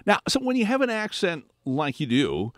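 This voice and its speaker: A man's voice: fairly high pitch, wildly swooping pitch, moderate pace, no echo, studio quality. A middle-aged man hosting a morning radio show, rambling and stumbling through questions. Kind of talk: radio interview chat. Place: radio studio.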